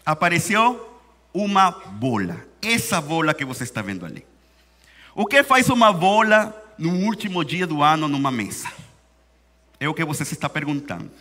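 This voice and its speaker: Venezuelan-accented preacher in a reverberant church hall. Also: male voice, a little high, very animated, bright, forceful tone. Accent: Venezuelan Spanish. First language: Spanish. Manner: emphatic, repeats key phrases and slows down for weight. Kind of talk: sermon.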